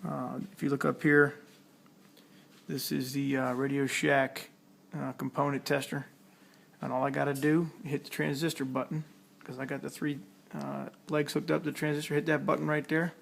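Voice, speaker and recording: A man's voice: fairly high pitch, level tone, normal speed, creaky. A man giving a casual hands-on tutorial, talking plainly step by step as he works at a repair task. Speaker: electronics hobbyist demonstrating a repair.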